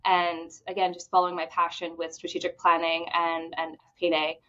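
A woman from the Bahamas speaks as a guest in an interview, fast and eager, often stumbling over repeated words. Her voice sits a little low. The audio is dull and lo-fi. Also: monotone, bright, forceful tone. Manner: enthusiastic, fast talker with repeated restarts. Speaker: credit union executive, podcast guest. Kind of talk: conversational interview answers.

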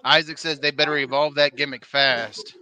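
A man co-hosting a live podcast in casual chat, picking up viewer comments and laughing easily. His voice sits a little high, and a busy bar crowd murmurs behind him. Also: fairly even intonation, moderate pace. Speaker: podcast co-host. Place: noisy bar during a live show.

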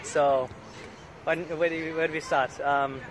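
A man's voice: a little high, fairly even intonation, measured pace. A man hosting a casual street interview, eagerly agreeing and affirming his guest. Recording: noisy street crowd behind. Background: crowd and traffic.

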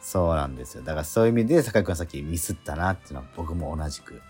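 A man's voice: rumbling low, rapid-fire, soft background music. A man with a Kansai accent hosting a radio show, chatting casually about theater rehearsals and often nodding along and laughing. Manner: relaxed, frequent backchannel nods and laughter.

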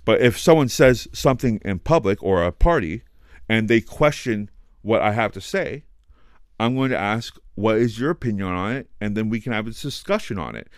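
A podcast host with a low, resonant voice who talks loudly and passionately, cursing freely and leaning on "like". He is recorded on a dry close mic with no room sound. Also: animated, moderate pace, smooth, dry recording. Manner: loud, passionate, swears, heavy use of "like". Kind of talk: casual podcast chat.

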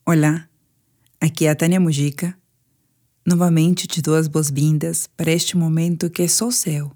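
A woman's voice: deep voice, level tone, moderate pace, dark tone. A woman with a Brazilian Portuguese accent guides a sleep meditation in a soothing, instructive manner.

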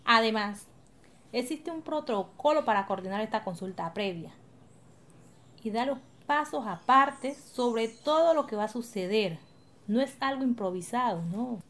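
A calm woman community leader acted for a radio drama, speaking in a fairly high voice. She explains things patiently and reassuringly, with assured, orderly delivery. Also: unhurried. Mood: reassuring, explanatory.

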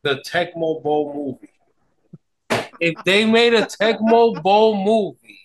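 A man on a podcast, chatting casually and excitably, repeating phrases for emphasis. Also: high-pitched, takes it slowly, slight background noise. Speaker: podcast guest or co-host. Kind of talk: casual chat.

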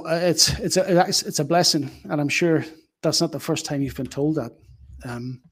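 Man, podcast interviewer, moderately high pitch, earnest, tentative phrasing, conversational.

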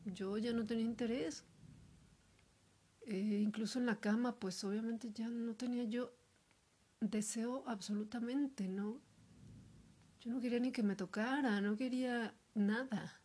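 A woman talking alone in a hesitant, reflective monologue, full of fillers and pauses. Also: on the high side, fairly even intonation, mellow, breathy edge. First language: Spanish. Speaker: podcaster. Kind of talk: personal monologue.

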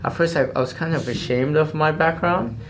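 A woman's voice: low-pitched, brisk. A woman interviewing a guest with casual questions, often restarting her sentences and prompting in a friendly way.

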